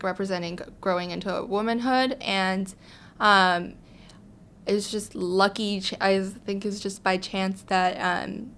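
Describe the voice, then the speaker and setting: A young woman from Hong Kong being interviewed, answering softly with frequent ums and small laughs. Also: medium pitch, slight background noise. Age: young adult. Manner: soft-spoken, frequent ums and little laughs. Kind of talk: casual interview.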